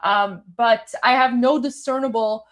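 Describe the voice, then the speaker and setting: A woman with a Canadian, Toronto-style accent hosts a live commentary stream. She pitches her voice a little high and talks in an animated way, repeating herself and using lots of fillers. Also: unhurried. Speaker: host. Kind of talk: live commentary on a news clip.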